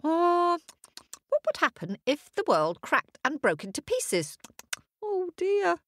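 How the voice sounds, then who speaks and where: Woman doing a high, fretful little hare. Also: wildly swooping pitch, slow, no echo, heavily acted. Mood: panicked. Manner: fretful, breathless worrying. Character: timid, panicky male hare.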